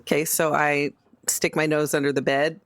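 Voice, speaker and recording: A woman's voice: sits low, little pitch movement, normal speed, dry recording. A woman playing a tabletop game, chatting casually and describing her character's moves.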